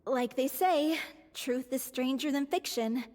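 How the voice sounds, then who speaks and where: A woman's voice: sits high, measured pace, a little mellow, long reverb tail. An actress voicing a sincere, wistful young woman of a theatre troupe, earnest and thoughtful, in a video game. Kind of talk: scripted video game acting.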